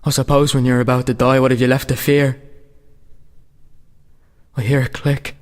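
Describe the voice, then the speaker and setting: An actor playing a young soldier who narrates his own execution in steady, grim tones. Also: mid-range voice, moderate pace, close to an everyday voice. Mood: grim calm. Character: young male soldier refusing to shoot his brother. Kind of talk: amateur radio play acting.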